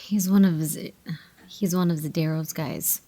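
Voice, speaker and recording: A blunt, hardened agent. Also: female voice, low voice, fairly even intonation, measured pace, dark tone, dry recording. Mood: curt. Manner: blunt, curt.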